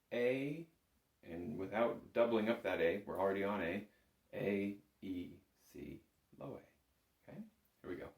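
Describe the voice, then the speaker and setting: Low-voiced man teaching in an echoey room. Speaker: bagpipe instructor. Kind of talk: informal music lesson, demonstrating and explaining. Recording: roomy, echoing indoor sound.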